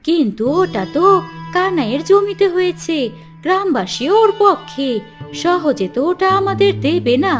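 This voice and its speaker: A grasping, envious village woman from a cartoon folk tale, acted by a woman. Her high voice is indignant and cunning, with faint music behind it. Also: expressive, a little slow. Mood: scheming. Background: background music.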